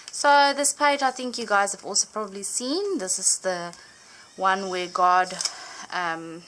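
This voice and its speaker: A young woman whose first language is Afrikaans, chatting casually as she flips through her journaled Bible, full of little fillers and gentle enthusiasm. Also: medium pitch, slight background noise, rough recording. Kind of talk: casual show-and-tell narration. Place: indoors at a table, hands over an open book.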